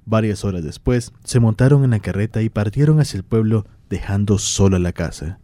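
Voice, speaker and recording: A man's voice: on the low side, brisk, warm tone, no room sound, clean studio sound. A man giving scripted storytelling narration for a radio drama.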